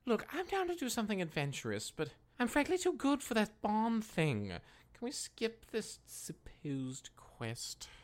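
A woman's voice: medium pitch, very animated, a little slow, a little mellow. A woman playing a haughty, exasperated adventurer who is whiny and sarcastic, in a fantasy comedy audio drama. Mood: whiny protest.

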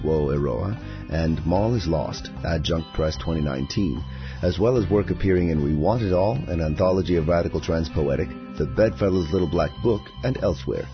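A man's voice: low voice, expressive, a little fast. A man hosting a podcast, reading a scripted introduction in a slightly dull, lo-fi recording. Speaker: podcast host. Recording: dull, lo-fi sound. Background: music.

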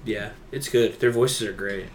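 A man's voice: lowish voice, a little slow, slight background noise. A man co-hosting a laid-out, casual chat about video games, talking like an enthusiastic fan with lots of filler "like". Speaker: podcast co-host.

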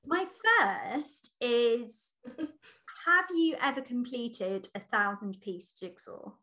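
A woman's voice: fairly high pitch, animated, slow pace, bright, forceful tone. A woman co-hosting a podcast, chatting casually and expressively with a friend. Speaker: podcast co-host. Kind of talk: casual chat between friends.

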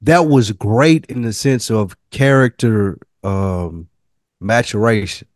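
A young adult man co-hosting a casual podcast, talking slowly and searching for his words. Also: on the low side, no room sound, studio quality. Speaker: podcast co-host. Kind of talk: casual chat.

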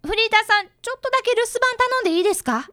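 Radio drama boy detective, about ten, played by a woman, earnest and precise, lively pitch, dry studio sound. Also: rapid-fire, dry recording, heavily acted. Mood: eager, polite. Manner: polite, precise, boyish. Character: earnest, bright fifth-grade boy, apprentice detective. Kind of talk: radio drama dialogue and first-person narration.

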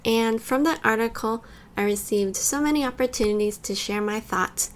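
A woman's voice: a little high, normal speed. A young woman who grew up in California and Taiwan, co-hosting a podcast and talking casually with frequent "like" fillers. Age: young adult. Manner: conversational, lots of "like" fillers. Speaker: podcast co-host.

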